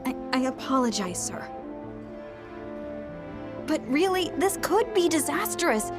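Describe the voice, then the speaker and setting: An actress playing a dutiful, overworked chief engineer in a video game, polite, mild and earnest. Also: female voice, high voice, sing-song, unhurried, music in the background, heavily acted. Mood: apologetic, anxious. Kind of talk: dramatic video game cutscene acting.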